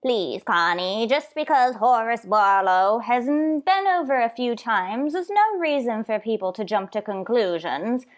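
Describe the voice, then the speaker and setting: Actress as elderly landlady, rather high voice, coy, prim, lovestruck, protesting, old-time radio sitcom. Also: very animated, measured pace, few pauses. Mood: coy denial.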